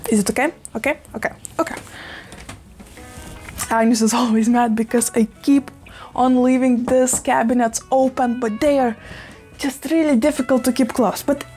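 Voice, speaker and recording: A young woman with a slightly high voice, whose first language is Russian, chats at speed into a fixed camera from a small room crowded with plants. Her talk gushes and spills over with exclamations. Soft background music plays faintly beneath her. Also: fairly even intonation. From Russian-speaking, living in Lithuania. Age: young adult. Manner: fast, gushing, chatty with many exclamations. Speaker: plant vlogger. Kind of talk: casual chat while repotting plants. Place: small indoor room full of plants and shelves. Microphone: fixed camera at desk.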